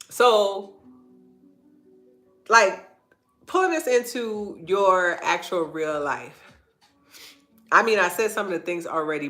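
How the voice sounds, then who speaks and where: A woman talks to her online audience in an earnest, encouraging way and repeats key phrases for weight. Her voice sounds stuffy and congested, yet bright and forward, sitting a little low. Faint music plays quietly behind her. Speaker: host of a live online talk. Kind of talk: inspirational faith-based livestream talk.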